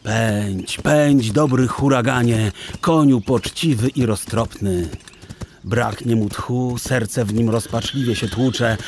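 A man narrating a children's story in a theatrical, deliberate, expressive literary style. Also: mid-range voice, slight background noise. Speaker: audiobook narrator.

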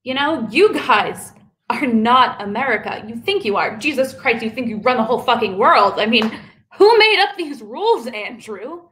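A woman performing a dramatic monologue as a furious young ex-waitress, ranting fast and swearing. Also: a little high, lively intonation, a bit bright. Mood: angry. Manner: angry, rapid, profane ranting. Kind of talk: live contemporary dramatic monologue.

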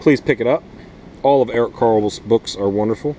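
A man in his thirties with a mid-pitched voice talks like a schoolteacher to children and their parents. He explains things step by step in plain, simple language, recorded on a camera close by in a small greenhouse. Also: brisk, warm tone, slight background noise. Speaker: schoolteacher host. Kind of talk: read-aloud and informal lesson addressed to children and parents. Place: inside a small plastic-sheeted wooden greenhouse.

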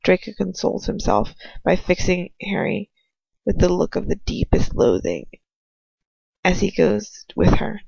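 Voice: medium pitch, big pitch swings, measured pace, creaky, a little mellow, slightly rough, dry recording, muffled recording. An amateur reader telling a fan fiction story aloud in a casual manner, stumbling and breaking off for informal asides. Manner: casual, breaks off to comment and stumble over words.

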